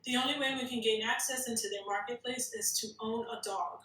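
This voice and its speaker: Bright, distant woman, acting blunt and businesslike. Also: a little high, steady tone, brisk. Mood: businesslike briefing. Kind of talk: screenplay table read. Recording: echoey room, off the mic.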